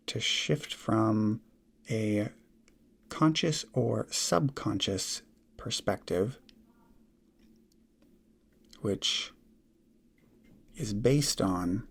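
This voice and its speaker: A man guiding a meditation in soft, breathy, measured short phrases with long pauses, often trailing into a near whisper. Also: fairly low pitch. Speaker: meditation guide. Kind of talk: guided meditation, slow instruction.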